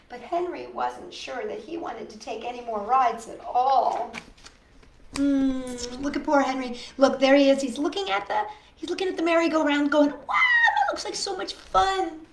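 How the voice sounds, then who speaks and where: Woman storytime host, very lively melodic delivery, talks straight to little kids, sympathetic and coaxing; echoey room. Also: a little high. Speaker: storytime host reading a picture book. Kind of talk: expressive children's storytime read-aloud with asides to young viewers. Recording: echoey room, faint background noise.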